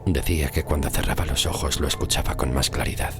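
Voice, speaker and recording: A man narrating a scripted horror story in deliberate, suspenseful phrases. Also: very deep voice, fairly even intonation. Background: loud music.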